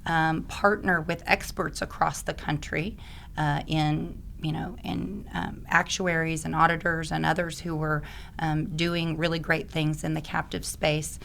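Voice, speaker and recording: Raspy, low-voiced woman, flat delivery. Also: unhurried, slight background noise. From Oklahoma. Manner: friendly, fluent explaining with frequent ums. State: hoarse from allergies. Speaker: podcast guest, risk advisor and attorney. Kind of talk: conversational podcast interview.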